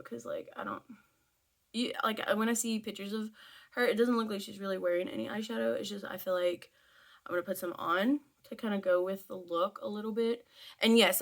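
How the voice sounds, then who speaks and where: A woman's voice: medium pitch, gravelly, fairly bright tone. A woman chatting casually as she tells a story while doing her makeup, with informal asides and a giggly manner. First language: English. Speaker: teacher.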